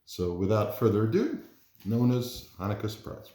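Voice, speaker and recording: A man's voice: on the low side, big pitch swings, normal speed, warm tone, slight background noise. A man around his thirties or forties, a parent volunteer introducing and reading a children's picture book aloud. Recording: roomy, echoey indoor sound.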